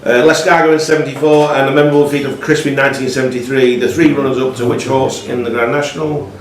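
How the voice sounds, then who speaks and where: A man's voice: medium pitch, flat delivery, fast, slight background noise. A man hosting a live quiz, reading questions aloud to contestants in a roomy pub space. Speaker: quiz master. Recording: roomy, echoey pub room, slightly distant mic.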